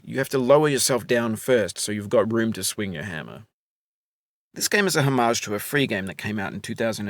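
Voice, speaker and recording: A middle-aged man narrating a video game in a calm, wry, philosophical voice-over. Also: lowish voice, dry recording, studio quality.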